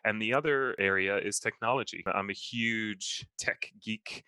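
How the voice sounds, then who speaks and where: A man's voice: lowish voice, a little slow, pushed and bright, clean tone, no room sound. A man in his thirties, originally from the United States, with an American accent, telling his career story as a podcast guest in a fluent, enthusiastic way with frequent restarts. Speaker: guest, privacy consultant. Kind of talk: remote podcast interview.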